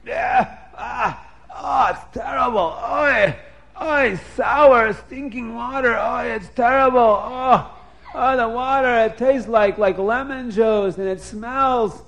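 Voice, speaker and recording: A man's voice: sits high, unhurried, pitched above the performer's own voice, poor audio. A man voicing a scheming, complaining old man who whines and exclaims in a storybook tale. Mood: disgusted. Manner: whiny, exclaiming with oys.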